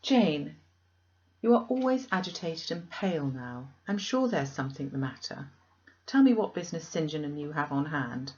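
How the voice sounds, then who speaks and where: Woman acting an emphatic, concerned young gentlewoman, muffled. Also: low voice, expressive, normal speed. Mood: concerned, probing. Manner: emphatic, questioning. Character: concerned, outspoken, affectionate sister, a young gentlewoman.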